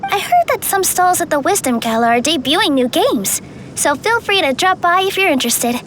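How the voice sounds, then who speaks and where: A woman's voice: very high voice, very animated, normal speed, a bit bright, a little hiss or hum, full character voice. A woman playing a polite, sweet young contest official in an anime game, courteous and orderly as she announces. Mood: polite, encouraging.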